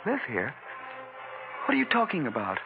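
A man's voice: on the high side, wildly swooping pitch, moderate pace, creaky, music in the background, heavily acted. An actor playing an agitated, suspicious man with amnesia in a 1940s radio thriller. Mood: confused, indignant. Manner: agitated, questioning, disbelieving. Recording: muffled, noisy old radio recording.

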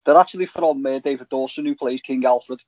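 A man in casual, halting podcast chat about TV, rambling as a co-host. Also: medium pitch, no echo. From Liverpool, England. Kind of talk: casual chat reviewing TV shows. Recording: slightly dull, lo-fi audio.

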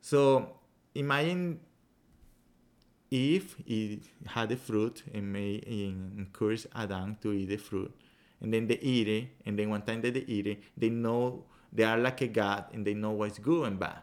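Earnest man with a Venezuelan accent. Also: on the low side. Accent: Venezuelan Spanish. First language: Spanish. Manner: earnest, explaining in halting English with many restarts. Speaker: yoga teacher, podcast guest. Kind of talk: casual podcast chat.

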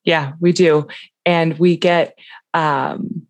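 A woman's voice: low-pitched, steady tone, very slow, dry recording, lo-fi sound. A woman co-hosting a book podcast, chatting casually and enthusiastically about a short story. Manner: enthusiastic, reads quotes aloud. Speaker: podcast co-host. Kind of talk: casual literary discussion.

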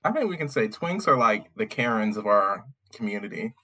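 A man's voice: lowish voice, big pitch swings, brisk, bright, forceful tone. A man co-hosting a casual podcast chat, tossing out dry teasing quips. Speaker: podcast co-host.

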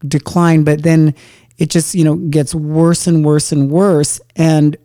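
A middle-aged woman in casual conversation, an earnest storyteller who slows down to stress key moments. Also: sits low, level tone, warm tone, no room sound, clean studio sound. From Canada.

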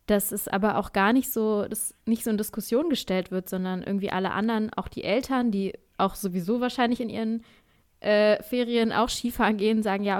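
A woman co-hosting a podcast talks casually and hesitantly, with many fillers. Her voice is smooth and clear, and her pitch sits in the middle range. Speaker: podcast co-host. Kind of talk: casual conversation.

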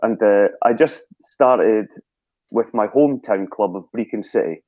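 A Scottish man, a guest telling lively stories in a casual interview and acting out his own past thoughts. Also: fairly low pitch, a little slow, no room sound, lo-fi sound. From Scotland.

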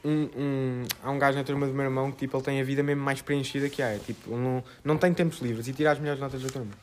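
A woman's voice: extremely low pitch, level tone, racing, a little mellow, a little hiss or hum. A young woman with a European Portuguese accent chatting bluntly and slangily on a casual student podcast. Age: teens to young adult. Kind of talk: casual group chat podcast.

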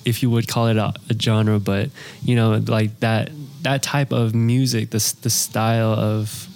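A man asking questions in a casual interview. His voice is low and gravelly with a lot of fry. He halts and restarts mid-question, hunting for words. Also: level tone, a little mellow. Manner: halting questions, many backchannels.

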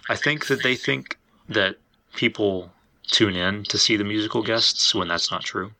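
A man with a low, gravelly voice that still cuts through very bright and forceful. He hosts a casual podcast discussion, phrasing his points in a measured, hesitant way. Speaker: podcast host.

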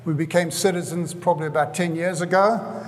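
Older South African male pastor, high-pitched, earnest sermon delivery with repetition; voice rings in a hall with long reverb. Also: steady tone, a little fast, a little hiss or hum. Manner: earnest, repeats phrases for emphasis.

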